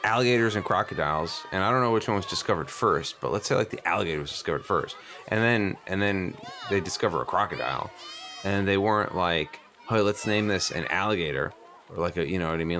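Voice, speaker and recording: A man's voice: sits low, fairly bright tone, slight background noise. A man playing a precocious, inquisitive eight-year-old boy who stammers and rambles earnestly in an improvised comedy radio play. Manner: hesitant stammers, rambling run-on questions.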